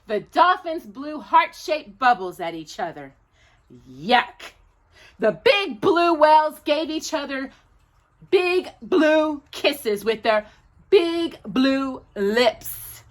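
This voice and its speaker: Animated, high-voiced woman reading children's stories. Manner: playful, drawn-out emphasis on key words; addresses a companion directly while reading. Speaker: storytime host reading a picture book. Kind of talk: animated children's story reading with chatty asides.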